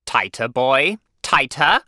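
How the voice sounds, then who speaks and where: A huge, domineering headmaster, played by a male narrator barks his commands in a raised, forceful voice. He is stern and menacing, snapping out each order like a storybook tyrant. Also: fairly high pitch, huge pitch swings, normal speed, no room sound, studio quality, pitched above the performer's own voice, exaggerated voice. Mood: barking orders.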